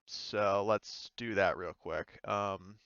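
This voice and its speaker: A man's voice: fairly low pitch, fairly even intonation, slow, fairly bright tone, clear, resonant tone, no echo. A man with a clean, resonant voice acts as game master in a casual, informal style. The sound is close and dry, though a little dull and lo-fi. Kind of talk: casual chat running a tabletop roleplaying game.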